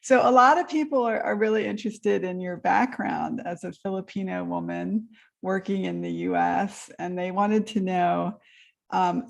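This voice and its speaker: A woman moderates a design talk, reading questions with a gravelly, fry-tinged voice. She is friendly and a little hesitant, pausing on fillers. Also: fairly low pitch. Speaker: interviewer, moderator.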